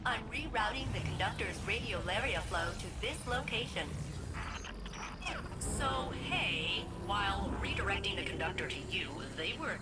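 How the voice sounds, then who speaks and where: A woman playing a helpful, quirky ship AI in a video game, with brisk, informal quips. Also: medium pitch, animated, loud music behind the voice, lightly acted, low-quality recording. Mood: helpful, wry. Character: female ship AI, helpful, quirky, chipper operator. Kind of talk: video game radio-dispatch dialogue.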